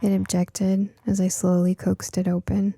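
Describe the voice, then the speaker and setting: A woman's voice: mid-range voice, completely flat delivery, normal speed, gravelly, noisy background. A woman reading a scripted story as an audiobook narrator.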